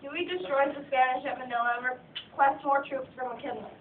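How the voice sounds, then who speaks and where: A young woman with a high voice reads a script aloud, stumbling and repeating herself. She sounds distant in an echoey room, with a thin phone-like sound. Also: fairly even intonation, fairly quick. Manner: stumbles and repeats words while reading. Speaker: narrator of a student puppet show. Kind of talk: scripted narration read aloud. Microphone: phone from across the room. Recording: tinny phone recording, distant, echoey, faint noise.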